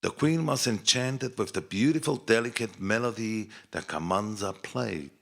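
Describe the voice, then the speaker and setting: A man narrating a scripted fairy tale in an expressive, measured children's storytelling manner. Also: lowish voice, creaky.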